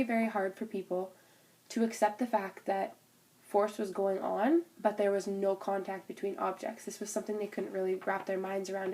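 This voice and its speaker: A woman's voice: mid-range voice, mellow. A young woman with a cold and a stuffed-up nose, casually explaining a physics topic for a class recording, with fillers and restarts. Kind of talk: informal spoken class presentation.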